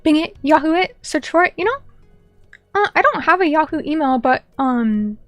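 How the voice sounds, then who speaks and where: A woman's voice: high voice, animated, normal speed, fairly bright tone, dry recording, soft background music. A young woman streamer chatting casually and reading viewer comments, bubbly and chatty, greeting people by name.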